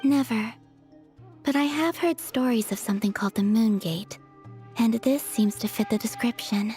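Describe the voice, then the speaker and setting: A gentle moon goddess from a fantasy game voiced by a woman speaks softly and serenely. Her voice is a little high, set over quiet music. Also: normal speed, lightly acted.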